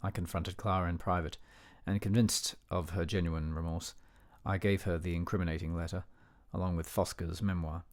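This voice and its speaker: Low-voiced, dignified older gentleman narrator played by an actor. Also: steady tone, natural voice. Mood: measured, reflective. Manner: measured, dignified, censorious. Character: disapproving, educated older man narrating a memoir. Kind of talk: formal scripted radio play narration.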